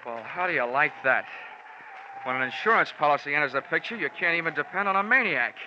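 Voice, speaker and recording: An actor playing a wry, hard-boiled male insurance investigator in a 1940s radio drama, narrating with deadpan sarcasm. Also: on the high side, quick pace, very noisy, close to an everyday voice. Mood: wry, tense. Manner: deadpan, sardonic. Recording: dull 1940s radio recording with music.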